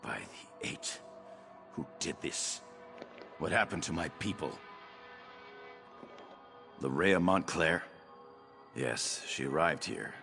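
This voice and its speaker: An actor playing a shaken, dutiful young nobleman in a video game, speaking haltingly and earnestly. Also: very deep voice, lively intonation, vocal fry, over music, full character voice. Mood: dazed, shaken. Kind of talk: scripted video game dialogue.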